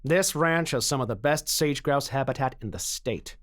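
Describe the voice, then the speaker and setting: An actor playing a slick, confident mining company banker, a smooth-talking dealmaker in an audio drama. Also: male voice, medium pitch, normal speed, close to an everyday voice. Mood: persuasive.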